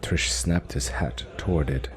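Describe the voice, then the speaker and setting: A man narrating a cinematic fantasy audio drama in a solemn, dramatic manner with short measured phrases. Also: very deep voice.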